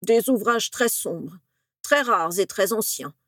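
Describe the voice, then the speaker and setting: A woman's voice: mid-range voice, expressive, takes it slowly, fairly bright tone, no room sound. A woman voicing a fearful old male wizard professor in a storybook, stammering and quavering as he talks. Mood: hushed, hesitant. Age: older.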